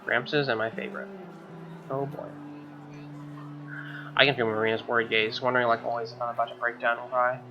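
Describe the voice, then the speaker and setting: Man, average pitch, casual let's play commentary, reading text aloud, music behind. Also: little pitch movement, fairly quick, pauses often, lo-fi sound. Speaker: let's player reading a visual novel.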